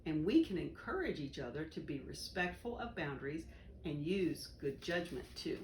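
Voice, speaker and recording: A woman reading a children's educational book aloud in a deliberate, instructive way. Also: sits low, sing-song, darkish tone. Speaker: volunteer story reader. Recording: roomy echo, faint background noise.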